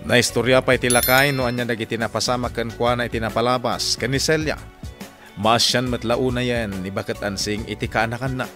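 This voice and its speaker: A man's voice: mid-range voice, steady tone, a little fast. A man with a Filipino accent giving a dramatic scripted recap as the narrator of a radio drama. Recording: music under the voice. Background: dramatic music.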